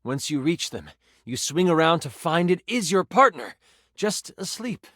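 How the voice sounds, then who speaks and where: A theatrical game master narrates fantasy adventure in a highish male voice. The delivery is expressive and swings widely in pitch, savoring suspense, recorded close and dry for a video game. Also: unhurried, no echo, full character voice. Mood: suspenseful storytelling. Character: personified D20 die, male, theatrical, enthusiastic game master. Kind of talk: dramatic tabletop fantasy narration.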